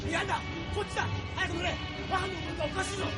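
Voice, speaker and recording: A man's voice: extremely high pitch, steady tone, racing, loud music behind the voice. A man playing a hot-headed, eager young crewman in a Japanese audio drama. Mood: shouting, hurried. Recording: long hall reverb, some noise.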